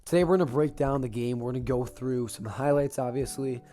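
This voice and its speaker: A young man with a raspy voice, getting over an illness, excitedly recapping a football game as a podcast host, with casual fillers. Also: medium pitch, a little fast, soft background music. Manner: excited fan talk with fillers.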